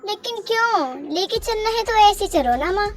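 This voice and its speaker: A woman's voice: very high-pitched, expressive, moderate pace, pitched above the performer's own voice. A woman voicing an insolent, rebellious young married daughter in a cartoon story, snapping defiantly and mixing in English. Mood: angry, defiant.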